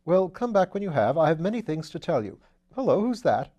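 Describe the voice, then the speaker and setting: An excitable upper-class gentleman sleuth, as a male reader puts him on, pitched well above the reader's own voice. He is chatty, playful and theatrical, a whimsical audiobook character. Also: fairly quick, muffled recording.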